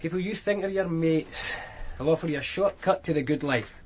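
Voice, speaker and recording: A man's voice: fairly high pitch, moderate pace, natural voice. Actor as blunt, sardonic male detective. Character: hard, sceptical police detective questioning a witness. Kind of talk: naturalistic radio drama acting. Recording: very noisy radio drama track.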